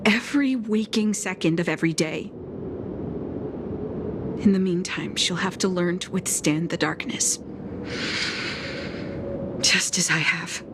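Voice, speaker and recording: An actress voicing a stoic, quietly bitter blind woman alchemist in a video game, with measured, formal lines and deliberate pauses. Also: lowish voice, noisy background, lightly acted. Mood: solemn, stern. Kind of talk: video game story dialogue.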